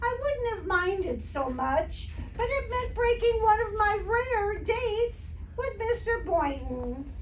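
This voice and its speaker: A distant, echoey stage recording carries a woman acting a put-upon schoolteacher from a radio comedy. She speaks very high and deliberately, with dry sarcasm. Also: sing-song, a little hiss or hum, full character voice. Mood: dryly exasperated. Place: live stage performance.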